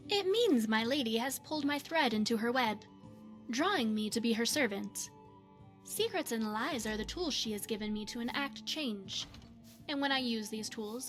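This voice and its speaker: A woman voicing a poised, secretive retired spy and mage in a fantasy game, speaking in a measured, formal, coy way. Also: on the high side, fairly bright tone. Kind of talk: scripted fantasy game character dialogue.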